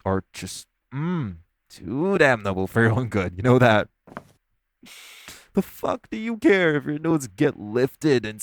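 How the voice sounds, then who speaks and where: A man playing a smug, hungry, flirtatious vampire in an audio roleplay, speaking with teasing theatrical flair in a gravelly voice. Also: lowish voice. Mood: bitter, frustrated. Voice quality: gravelly, creaky voice. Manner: teasing, theatrical, swearing, sighing. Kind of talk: audio roleplay monologue to the listener.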